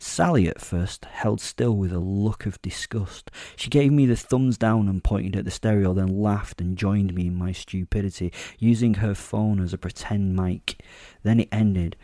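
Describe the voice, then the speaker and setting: Guy narrating a dark story in first person, low mellow voice, wry and confiding, really dry close sound. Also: fairly even intonation, unhurried, few pauses, dry recording. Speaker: narrator playing the werewolf protagonist.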